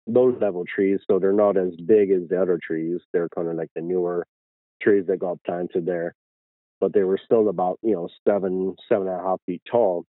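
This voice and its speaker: A man with an Irish accent, a guest telling his story slowly with many fillers and restarts. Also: sits low, no echo, phone-quality sound. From Ireland.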